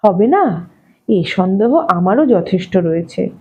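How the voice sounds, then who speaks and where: A woman narrating a literary short story expressively, in a measured, wry manner, as an audio story reader. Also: mid-range voice, sing-song, mellow. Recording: slightly dull, muffled audio.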